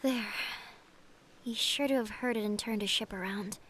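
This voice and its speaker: Actress as gentle siren for fantasy audio adventure; highish, clear bright tone, earnest and tender-hearted, background noise. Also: female voice, normal speed, close to an everyday voice. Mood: calm resolve. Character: gentle, merciful siren with power over the sea. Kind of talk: cinematic fantasy audio roleplay acting.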